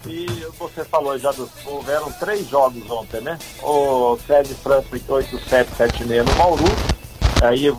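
A man's voice: mid-range voice, low-quality recording. A man joining a sports radio show as guest commentator, talking through a basketball game in an easygoing way.

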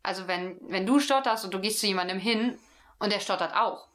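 A woman's voice: mid-range voice, fairly quick, a bit bright. A young woman co-hosting a podcast in casual chat, mumbling a bit, laughing and self-mocking. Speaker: podcast co-host.

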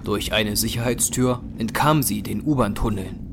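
A man's voice: on the low side, moderate pace, vocal fry, music in the background. A man narrating an action horror audio drama in a dramatic, scripted style.

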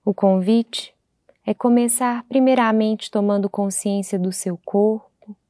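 A Brazilian woman leading a guided meditation in a gentle, unhurried way, leaving long pauses between phrases. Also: mid-range voice, steady tone, no room sound, studio quality.

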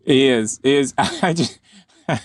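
A man's voice: medium pitch, a little slow, no echo. A man hosting a podcast, reacting to a sketch with laughter and broken-off sentences. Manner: laughing, animated, trailing off mid-sentence. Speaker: podcast host. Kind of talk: casual chat.

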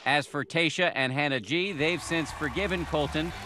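A man's voice: a little high, moderate pace, background noise. An American man reading scripted TV news narration in a dramatic headline style. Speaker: TV news reporter. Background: clear music bed.

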